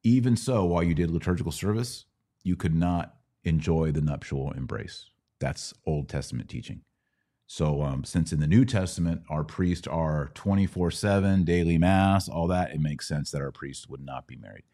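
A man's voice: very deep voice, moderate pace, dark tone. A middle-aged man hosting a casual live question-and-answer show, teaching step by step with questions and simple analogies. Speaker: podcast host answering Bible questions.

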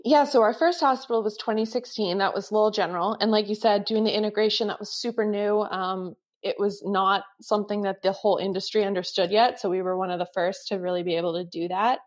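A woman's voice: mid-range voice, steady tone, quick pace, few pauses. A woman in her thirties, a company founder, answering interview questions with enthusiasm and frequent ums. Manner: enthusiastic, lots of fillers. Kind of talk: casual interview chat.

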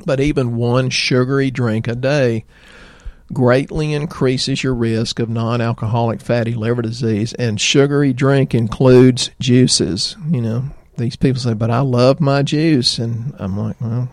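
A middle-aged man with a Southern American accent, a doctor chatting in a folksy, rambling way about health, his voice slightly hoarse. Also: medium pitch, steady tone, measured pace, a little mellow, dry recording, studio quality. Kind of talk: health talk show chat.

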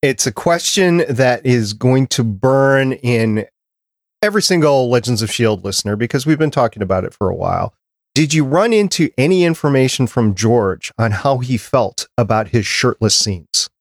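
A man's voice: medium pitch, lively intonation, moderate pace, dry recording. A man hosts a casual panel podcast in a mid-range voice. The recording is dry and close, with hardly any room sound. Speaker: podcast host.

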